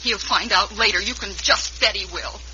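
A woman performs a young, earnest daughter in a radio Western. Her voice sits at a medium pitch, caring and sincere. The recording is dull and clearly noisy. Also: moderate pace, natural voice. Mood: agitated, determined. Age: young adult. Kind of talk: 1950s Western radio acting.

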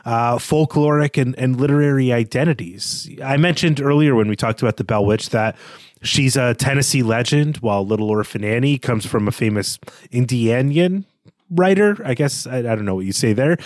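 A man's voice: mid-range voice, normal speed, no room sound. A man co-hosting a podcast, analysing literature conversationally in a reflective way, often qualifying his points. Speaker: podcast co-host.

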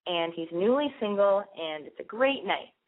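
A woman's voice: mid-range voice, expressive. Chatty podcast host woman, phone-thin sound. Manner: talkative, quick restarts, frequent "like". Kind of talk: casual call-in chat and storytelling. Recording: phone-like narrow band with faint hiss.

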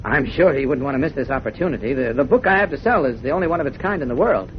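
An actor playing an earnest, insistent newspaper reporter in a 1940s radio drama. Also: male voice, medium pitch, lively intonation, rapid-fire, close to an everyday voice. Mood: nervous, pleading. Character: earnest, urgent male newspaper reporter, secretly a superhero. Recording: dull, faintly noisy old radio recording.

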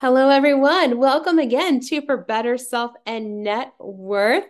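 A woman's voice: sits high, unhurried. Upbeat woman podcast host. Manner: upbeat, motivational. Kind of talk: scripted podcast intro, then interviewing.

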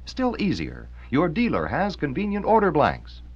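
Very animated American radio ad man. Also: a little high, moderate pace. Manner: punchy, emphatic sales delivery. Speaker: commercial announcer. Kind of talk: 1940s radio advertisement. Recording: muffled vintage radio recording.

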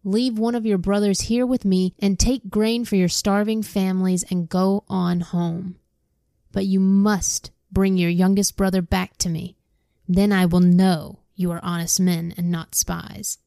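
A woman's voice: mid-range voice, flat delivery, measured pace, vocal fry, mellow, no room sound, clean studio sound. A woman reading the part of a stern, powerful male governor giving orders.

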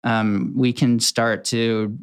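A young man from Connecticut, a video editor chatting casually as the guest of a workplace interview, relaxed and rambling with many ums and restarts. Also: medium pitch, slow, clear, resonant tone. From Connecticut, USA.

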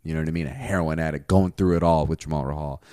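A man's voice: very deep voice, steady tone, fast talker, mellow, dry recording, studio quality. A man in his twenties chatting casually, rambling and swearing, with lots of fillers. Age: 20s. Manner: rambling, profane, many fillers. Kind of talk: casual chat.

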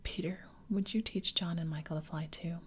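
A prim, well-mannered girl bubbling with eagerness, voiced in a low breathy whisper by a woman reading aloud. Soft and intimate throughout. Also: normal speed, slight background noise, natural voice. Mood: excited. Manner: prim, excited.

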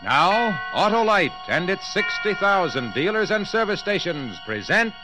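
A man with an American accent giving a punchy, emphatic sales pitch as a 1940s radio commercial announcer. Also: very animated, measured pace, over music, low-quality recording. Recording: vintage 1940s radio broadcast.

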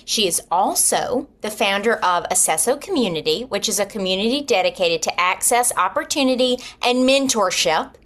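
A woman's voice: mid-range voice, expressive, moderate pace, hardly any pauses, a bit bright. A woman hosting a podcast interview in an effusive, gushing manner. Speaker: podcast host. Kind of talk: scripted introduction, then casual interview chat.

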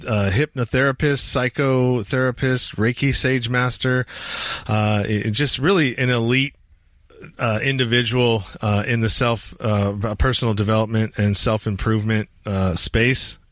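A man's voice: mid-range voice, steady tone, unhurried, fairly bright tone, no room sound, studio quality. A man hosting a podcast, talking in a loose, rambling, casual way. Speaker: podcast host.

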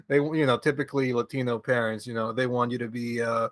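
A man's voice: medium pitch, little pitch movement, normal speed, no room sound. A man from Venezuela, a podcast guest telling stories in a rambling, chatty way with frequent laughter and restarts. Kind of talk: casual conversational storytelling.

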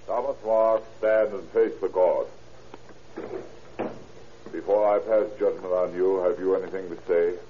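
An actor playing a grave, severe judge, solemn and declamatory in 1930s radio drama style. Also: male voice, low-pitched, steady tone, a little slow, pauses often, a little hiss or hum. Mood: solemn, stern. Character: stern, condemning male judge, grave and severe. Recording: muffled vintage radio recording.

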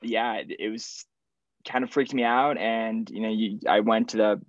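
Young man, native French speaker, low flat voice, dry dull lo-fi sound, rambling casual chat. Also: normal speed, no echo. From Switzerland. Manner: relaxed, rambling with many fillers. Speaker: podcast guest. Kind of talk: casual conversation.

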